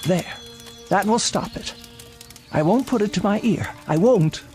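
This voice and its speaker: A woman's voice: mid-range voice, expressive, unhurried, music in the background, lightly acted. Actress as a fretful, imperious old woman. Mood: weary, uneasy. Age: older. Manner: imperious, fretful, formal diction. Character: frightened, stubborn, demanding old invalid woman living alone. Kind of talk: radio drama acting.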